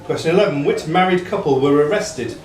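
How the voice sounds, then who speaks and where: A man with a fairly high-pitched voice acts as quizmaster, reading questions out to players. He sounds set back from the mic in an echoey pub room, with people audible around him. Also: brisk, distant mic, crowd noise. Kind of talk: reading quiz questions aloud to contestants.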